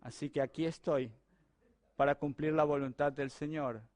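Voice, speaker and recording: A middle-aged Argentine man, a pastor, telling a personal testimony during a sermon in a lively, conversational way. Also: on the high side, moderate pace, no echo. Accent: Argentine Spanish. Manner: animated, conversational storytelling to a congregation.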